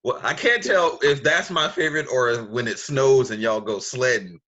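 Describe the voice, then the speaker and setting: A man's voice: mid-range voice, normal speed, sharp tone, lo-fi sound. An American man hosting a casual podcast chat in an affirming, encouraging manner. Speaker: podcast host.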